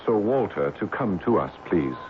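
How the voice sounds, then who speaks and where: An earnest young spiritualist, widely swooping. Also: male voice, deep voice, big pitch swings, moderate pace. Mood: reverent, hushed. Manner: reverent, polite, ceremonious. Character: earnest, devout young male believer in spiritualism. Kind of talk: old-time radio drama acting. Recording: tinny, very noisy old radio recording.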